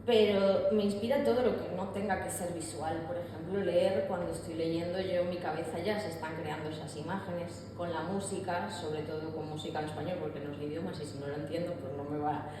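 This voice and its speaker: Soft-voiced woman in an echoey hall. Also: lowish voice, fairly even intonation, a little fast, few pauses. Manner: candid, reflective, with hesitant restarts. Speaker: artist speaker. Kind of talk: public talk on mental health. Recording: echoey hall with long reverb, audible room noise.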